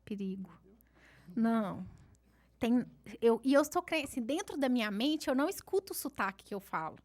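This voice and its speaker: A woman's voice: fairly high pitch, lively intonation, darkish tone. Chatty woman lecturer with regional accent. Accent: Brazilian Portuguese, regional. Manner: chatty, self-deprecating, fast restarts. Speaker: law lecturer. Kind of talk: seminar lecture with Q&A. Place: conference hall.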